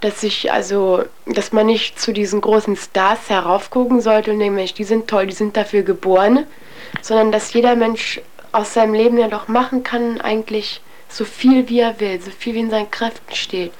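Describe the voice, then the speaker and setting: A woman's voice: mid-range voice, steady tone, normal speed, darkish tone. A young adult woman giving a candid personal account as an interviewee, speaking in a reflective, hesitant, colloquial way.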